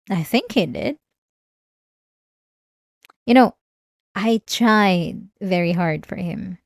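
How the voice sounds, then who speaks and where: Slow, eerily calm obsessive woman patient, acted by a woman. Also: medium pitch, gravelly, dry recording, clean studio sound, heavily acted. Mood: earnest, devoted. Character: obsessive, manipulative female therapy patient, eerily calm and menacing. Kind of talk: ASMR roleplay monologue.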